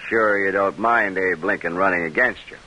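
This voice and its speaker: An American actor playing a blunt, probing lawyer in an old-time radio drama. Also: male voice, low-pitched, normal speed, bright, forceful tone, rough recording. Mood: probing, needling. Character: blunt, probing male lawyer, loyal go-between. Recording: vintage radio broadcast recording.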